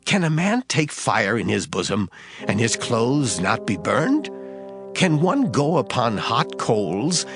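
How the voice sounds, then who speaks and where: A man's voice: mid-range voice, wildly swooping pitch, slow. A man giving a dramatized scripture reading as narrator, in a solemn, declamatory manner. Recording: guitar music under the voice. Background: guitar music.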